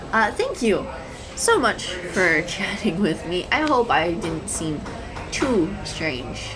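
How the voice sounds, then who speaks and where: A woman playing a shy, anxious young woman in an audio roleplay, rambling nervously and self-consciously to a stranger. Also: on the low side. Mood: bashful, grateful. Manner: nervous, hesitant, rambling, self-deprecating, giggly. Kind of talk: intimate audio roleplay addressed to the listener. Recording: coffee shop chatter behind voice. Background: coffee shop crowd sound effects.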